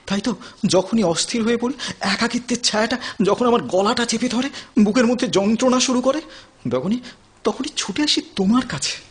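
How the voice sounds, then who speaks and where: Lonely anguished young man, high-pitched. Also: husky. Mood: sorrowful, yearning. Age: 30s. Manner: emotional, halting, repeats phrases. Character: lonely, anguished thirty-year-old son of neglectful parents. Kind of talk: Bengali audio play acting.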